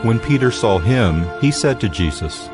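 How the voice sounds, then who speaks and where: A male narrator reads scripture in a measured, solemn manner. His voice sits fairly low, and music plays clearly underneath the reading. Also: expressive. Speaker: Bible narrator.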